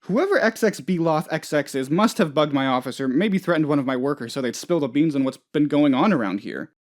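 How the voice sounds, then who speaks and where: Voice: medium pitch, lively intonation, fairly quick, lightly acted. An actor playing a dim, anxious, childishly self-important office boss in a comedic video game, rambling hesitantly and turning whiny when upset. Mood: indignant. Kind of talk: comedic game dialogue, voice lines.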